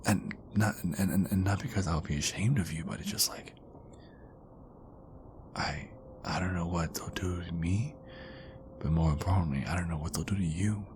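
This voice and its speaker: A man playing a tender, insecure werewolf boyfriend in an intimate ASMR roleplay, speaking in a hushed, halting way that often slips into whispers. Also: deep voice, background noise, close to an everyday voice. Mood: vulnerable, anxious.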